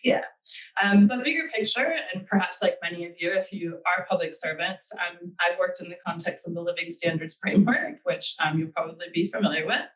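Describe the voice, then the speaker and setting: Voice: medium pitch, brisk, fairly bright tone, far from the mic, rough recording. A speaker with an American accent giving a webinar talk, earnest and thinking aloud with frequent ums. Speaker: guest speaker.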